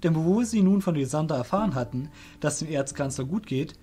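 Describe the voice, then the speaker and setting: A man's voice: on the high side, animated, a little fast, dark tone. A man narrating a scripted fantasy audio drama as its storyteller.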